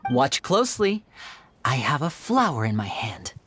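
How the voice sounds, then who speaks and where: A man's voice: medium pitch, very animated, unhurried. A man voicing a playful, courteous young stage magician in a video game. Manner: theatrical, teasing and courteous. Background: game music.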